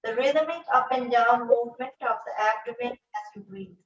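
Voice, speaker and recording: Woman, yoga teacher, soft calm guidance, slightly high voice, echoey room, distant and dull sound. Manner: slow, soft, calm instructions with long pauses. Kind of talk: guided breathing meditation.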